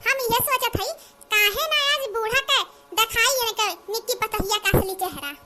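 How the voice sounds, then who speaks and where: A woman voicing a scheming, gossiping young village daughter-in-law in a cartoon comedy, with an Awadhi accent and an artificially squeaky, nagging delivery. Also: normal speed. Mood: conspiratorial. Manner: exclamatory, nagging, conspiratorial. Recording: noticeable background noise.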